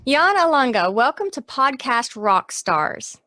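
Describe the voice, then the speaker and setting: A woman's voice: high voice, big pitch swings, measured pace, sharp tone, no room sound, soft background music. Woman hosting a podcast. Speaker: podcast host. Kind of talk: scripted intro then interview questions.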